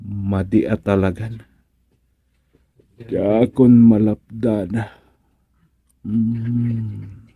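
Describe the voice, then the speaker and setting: A man's voice: fairly low pitch, little pitch movement, extremely slow, vocal fry, long pauses, breathy edge, no echo, narrow, tinny audio, exaggerated voice. A man acting a comic village dreamer in a radio drama, muttering to himself with hums and an Ilocano accent. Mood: musing, drowsy.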